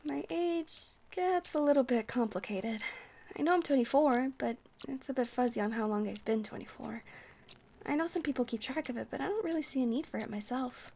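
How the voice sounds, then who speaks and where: A woman playing a friendly vampire bartender who rambles gently and whimsically in an ASMR roleplay. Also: high voice, brisk, creaky, a little hiss or hum. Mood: chatty, sheepish.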